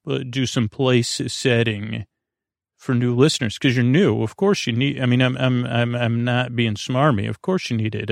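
A man with a creaky voice hosting a bedtime podcast, speaking in a lulling, gentle, meandering way, hesitating and drifting into tangents. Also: mid-range voice, no room sound, clean studio sound. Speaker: host of a bedtime story podcast. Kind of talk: rambling, soothing bedtime monologue.

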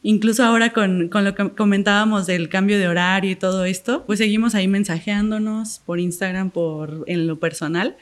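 A woman's voice: mid-range voice, steady tone, moderate pace, few pauses. A woman with a mid-range voice co-hosting a casual podcast. She chats in a friendly way and hedges often with fillers. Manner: chatty, friendly, hedging fillers. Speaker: podcast co-host. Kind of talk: casual interview chat.

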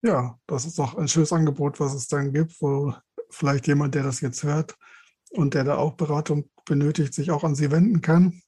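A man's voice: on the high side, steady tone, moderate pace, mellow, breathy edge. A man hosting a podcast, asking questions and making announcements. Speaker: podcast host. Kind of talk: podcast interviewing and scripted announcements.

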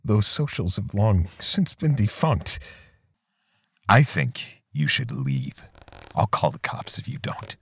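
A man playing a bitter, defensive portrait artist in an audio drama, speaking haltingly with sighs and pauses. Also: sits low, big pitch swings, breathy edge, no echo, studio quality, exaggerated voice. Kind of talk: audio drama dialogue and first-person narration.

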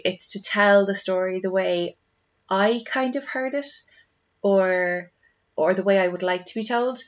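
A woman's voice: mid-range voice, dull audio. An Irish woman co-hosting a podcast in casual chat, with an exclamatory, storytelling manner. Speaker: podcast co-host.

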